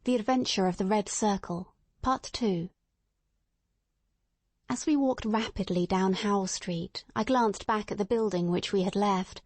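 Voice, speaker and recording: A woman's voice: mid-range voice, unhurried, a little mellow, no room sound. A woman reading a story aloud as a scripted dramatic audiobook narration.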